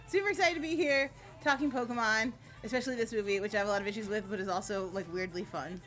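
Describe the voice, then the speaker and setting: A woman's voice: medium pitch, quick pace, a bit bright, faint music behind. A woman speaking as a guest panelist on a film review show, chatting in a breezy, informal, slangy way. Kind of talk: casual, chatty panel discussion.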